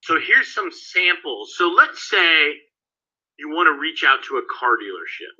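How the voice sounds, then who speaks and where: Man with a bright, strained voice on a lo-fi call. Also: fairly high pitch, sing-song, measured pace, slightly rough. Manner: casual, talks straight to students by name. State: losing his voice. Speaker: course instructor. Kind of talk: live online training session.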